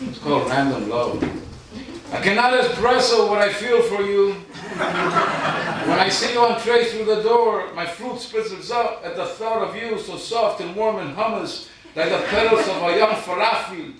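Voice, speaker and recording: A man's voice: high voice, lively intonation, unhurried, a bit bright. Male poet for live reading: informal, rhythmic, self-deprecating; far from the mic with background noise. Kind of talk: live poetry reading with casual introductions. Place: live event room in Chicago. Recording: distant mic, very echoey noisy room.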